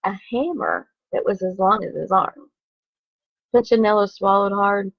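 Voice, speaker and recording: Gravelly woman reading a children's story aloud. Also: mid-range voice, measured pace, dry recording, muffled recording. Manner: expressive, asides to the viewers. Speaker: teacher. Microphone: phone livestream.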